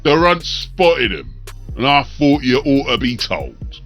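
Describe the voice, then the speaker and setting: A dutiful ork henchman from a fantasy audiobook, put on by a male reader. He talks in rough deferential slang, in a raised, forceful voice. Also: mid-range voice, sing-song, takes it slowly, soft background music, voice pushed up, heavily acted. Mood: reporting. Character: dutiful ork underling reporting to his boss.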